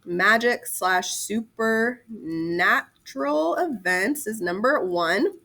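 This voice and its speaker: A woman's voice: mid-range voice, lively intonation, slow pace, bright, forceful tone. A woman teacher giving a casual lesson to students, talking informally with slang and chatty asides and repeating key terms.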